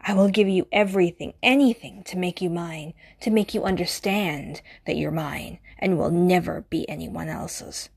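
A woman's voice: on the low side, moderate pace, vocal fry, hardly any pauses, darkish tone, slightly rough. A woman voicing an obsessive, possessive stalker who kills for love, speaking intimately and often in a menacing whisper in an audio roleplay.

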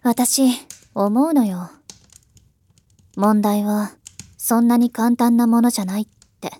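A woman's voice: on the high side, steady tone, warm tone, no room sound. A woman playing a world-weary, ironic elder sister in a voice drama, musing wistfully. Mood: probing, pensive. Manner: slow, wistful, rhetorical musing. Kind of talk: Japanese voice drama acting.

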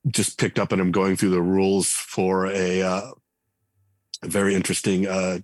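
Deep husky guy musing on stuff in a podcast chat, lots of uh's, dead dry sound. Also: little pitch movement, moderate pace, no room sound. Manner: reflective, hesitant with frequent fillers. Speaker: game designer and writer, podcast guest. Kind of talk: casual interview talk.

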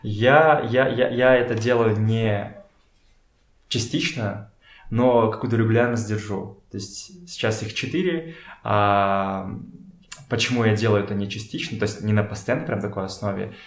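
A man talking casually as a podcast guest, telling things in a halting, slangy way and often repeating words. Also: on the low side. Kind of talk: casual conversational storytelling. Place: in a room at a home. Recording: echoey room.

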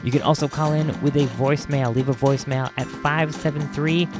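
A man's voice: on the high side, steady tone, brisk. A man hosting a podcast, talking casually and directly to his listeners. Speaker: podcast host. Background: music.

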